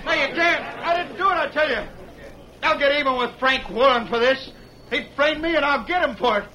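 A high-voiced, agitated young convict. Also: male voice, brisk. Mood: desperate, vengeful. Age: 20s. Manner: impassioned, pleading, agitated. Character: desperate young convicted man, bitter, vengeful, protesting. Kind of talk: old-time radio western melodrama. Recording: noisy vintage radio broadcast recording.

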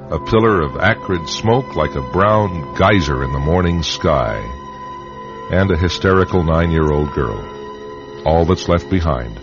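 A man hosting a radio anthology, narrating a script in a theatrical, deliberate, dramatic style. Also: extremely low pitch. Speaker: host and narrator. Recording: slightly muffled radio broadcast. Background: music.

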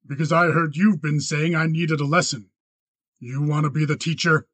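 A man's voice: a little high, measured pace, slightly rough, dry recording, exaggerated voice. A man acting a harsh, threatening young western rancher. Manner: flat, clipped, challenging.

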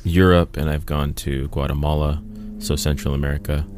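A man's voice: very deep voice, level tone, normal speed, background noise. A man of Mexican heritage chatting on a podcast, playful and joking. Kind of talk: casual chat.

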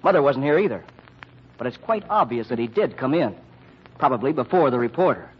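A man's voice: mid-range voice, expressive, fairly quick, breathy edge. An actor playing a prim, accusing young son who needles his family in an old radio drama style. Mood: needling. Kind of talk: 1940s radio acting. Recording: dull lo-fi old radio recording.

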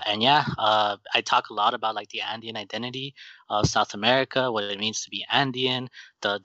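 Guy from colombia on a podcast, bright tone, dry dull lo-fi sound, earnest. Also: on the low side, dry recording. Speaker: podcast guest. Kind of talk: casual chat.

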